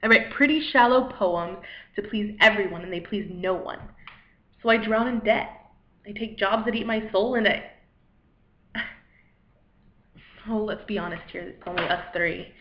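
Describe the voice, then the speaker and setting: In a dull, echoey room recording, an actress plays a weary woman who thinks of herself as a failed poet. She rambles anxiously in a mid-pitched voice, confessional and halting. Her dramatic monologue is wry and self-deprecating, yet stays conversational. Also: slight background noise, lightly acted. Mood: frustrated, wounded, then bleak. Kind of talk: dramatic monologue, cold read.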